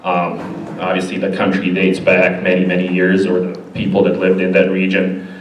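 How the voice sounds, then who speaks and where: A man in his forties from Bosnia, giving a casual, halting presentation at a church with many fillers. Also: low-pitched, monotone, dull audio. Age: 40s. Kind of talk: informal presentation. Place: church hall. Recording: echoey hall, distant mic, faint noise.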